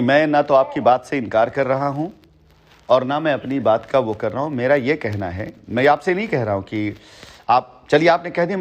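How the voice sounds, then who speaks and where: A middle-aged man heading a company, talking assertively and boastfully on a phone call, repeating his points. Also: mid-range voice. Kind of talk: heated phone conversation.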